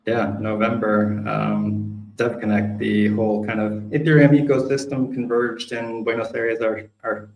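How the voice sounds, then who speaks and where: A man with a low, gravelly voice talks through a community update in an informal, conversational way. His delivery meanders like casual chat. The sound carries a clear roomy echo. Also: level tone, measured pace, few pauses, darkish tone, soft background music. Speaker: community town hall co-presenter. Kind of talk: casual presentation recap.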